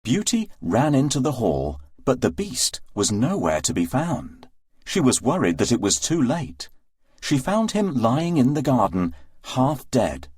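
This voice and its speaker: A man's voice: mid-range voice, wildly swooping pitch, a little slow, a little mellow, breathy edge, no room sound. A man narrating a scripted children's story as a read-aloud storyteller, in a dry recording with music.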